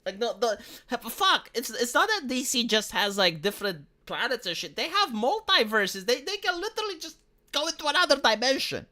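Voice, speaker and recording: A man from Romania rambles through a casual livestream, swearing freely and often restarting his sentences. His voice is bright, and its pitch swings widely as he talks. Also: sing-song, brisk. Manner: rambling, profane, frequent restarts. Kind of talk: casual streaming chat.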